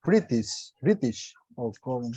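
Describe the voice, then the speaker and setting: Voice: mid-range voice, very animated, slow, dark tone, no echo. An adult student in an online English lesson, curious and eager, asking questions and repeating words to practise.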